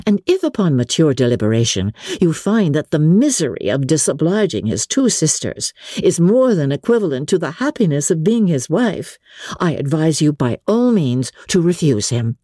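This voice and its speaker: This is a witty young lady from a classic novel, played by a woman reader, confident and wry. She argues in polished period phrases with a very animated melody. The voice is slightly dark and close, as in a dramatic audiobook. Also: low-pitched, moderate pace, no echo. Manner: assured, wry, argumentative, formal period speech.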